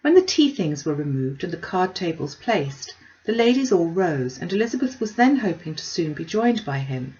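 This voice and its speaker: A woman's voice: lowish voice, darkish tone. Woman reading a classic novel, dull recording. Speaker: audiobook reader.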